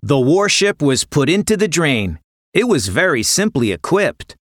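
Expressive woman storyteller, animated pitch. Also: measured pace, dry recording, studio quality. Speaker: storyteller, podcast narrator. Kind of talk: scripted children's story narration.

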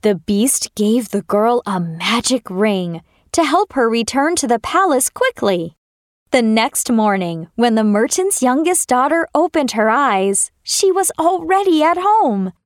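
A woman's voice: fairly high pitch, big pitch swings, unhurried, dry recording, clean studio sound. A woman narrator reading a scripted fairy tale for children.

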